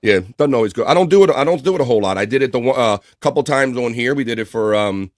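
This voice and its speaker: A man with a deep, bassy voice hosting a casual live talk show, rambling and cursing loosely in friendly banter. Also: lively intonation, fast talker, dry recording, studio quality. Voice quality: deep bass voice. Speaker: livestream talk show host. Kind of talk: casual chat.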